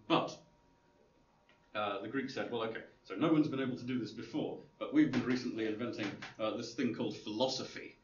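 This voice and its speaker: A man's voice: mid-range voice, fairly quick. A man giving an informal lecture, rambling with many fillers and restarts, with dry humor. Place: classroom. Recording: roomy, distant, dull lo-fi room recording with faint hiss.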